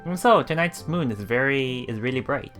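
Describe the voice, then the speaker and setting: A man with a mid-range, very animated voice reads game text aloud and breaks off for casual asides. Soft game music runs behind him. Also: mid-range voice, normal speed. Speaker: let's play host. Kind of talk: reading visual novel text aloud with casual commentary.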